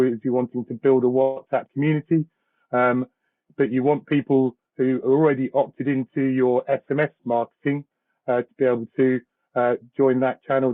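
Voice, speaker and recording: A man with a slightly croaky voice giving a live demo on a video call, chatty and self-deprecating, with "um" fillers. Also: medium pitch, no echo. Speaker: demo presenter. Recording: thin phone-like line, dry.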